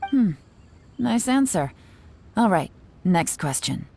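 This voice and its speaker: A woman's voice: medium pitch, big pitch swings, slow pace, gravelly, a little mellow, a little hiss or hum, exaggerated voice. A woman playing a cool, shrewd investigator in a video game, composed and analytical. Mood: cool, probing.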